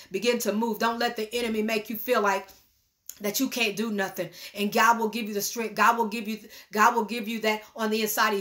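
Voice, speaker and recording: A woman's voice: mid-range voice, a bit bright. A middle-aged woman preaching a prophetic word to a phone camera in a small room, earnest and repetitive, often restarting her phrases. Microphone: phone camera at arm's length.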